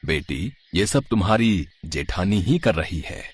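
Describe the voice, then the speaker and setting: A man's voice: low-pitched, sing-song, a little slow, husky, full character voice. A man voicing a kindly, wise old holy man in a moral story, solemn and full of blessings.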